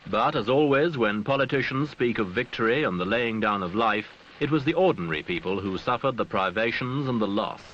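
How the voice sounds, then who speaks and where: A man reading scripted documentary newsreel narration in a formal, measured way with dramatic phrasing. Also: mid-range voice, expressive, a bit bright, dull audio. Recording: noisy, band-limited archival newsreel soundtrack.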